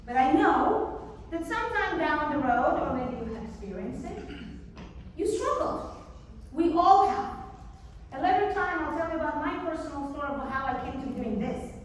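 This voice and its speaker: High-voiced woman, echoey hall. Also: normal speed, recorded from a distance, a little hiss or hum. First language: Hebrew. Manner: emphatic, builds to excited urging of the audience. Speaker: keynote speaker. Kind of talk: motivational keynote speech. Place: large event hall. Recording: echoey hall, mic from afar.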